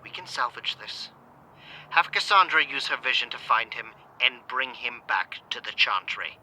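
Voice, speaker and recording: An actor playing a stern, menacing vampire clan elder in an audio drama, cold and commanding. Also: male voice, mid-range voice, animated, normal speed, pushed and bright, a little hiss or hum, low-quality recording. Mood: cold, threatening.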